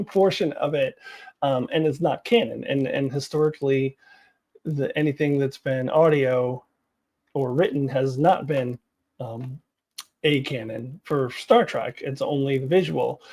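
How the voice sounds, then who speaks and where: A woman hosting a casual fan commentary video. Her voice has a gravelly fry to it. She talks bluntly and informally, haltingly working through her opinions for the viewer. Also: low voice, a little mellow.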